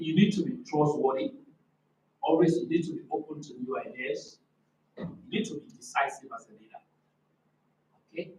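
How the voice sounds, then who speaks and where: A man with a fairly high, loud voice addresses a conference as a motivational speaker. He is emphatic and instructive, restating his points and posing rhetorical questions. The sound is roomy and echoing, with the microphone set well away from him. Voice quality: loud, naturally forceful voice. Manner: emphatic and didactic, repeats key phrases. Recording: echoey room, distant microphone.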